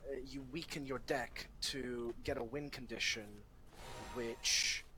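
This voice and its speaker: A man being coached, struggling to put his reasoning into words with halting restarts; English is not his first language. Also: medium pitch, fairly even intonation, background noise. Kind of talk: casual conversation.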